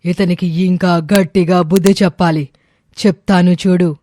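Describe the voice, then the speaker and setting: Woman playing a stern demoness, flat and menacing. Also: on the low side, moderate pace, slight background noise, lightly acted. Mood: stern, commanding. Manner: commanding, scolding. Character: stern, threatening brahma demoness punishing a lazy man. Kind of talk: animated moral story voice acting.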